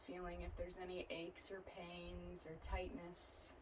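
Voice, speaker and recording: A woman leading a guided yoga and relaxation practice as an instructor, speaking in a gentle, soothing way with unhurried phrasing. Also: lowish voice, completely flat delivery, background noise.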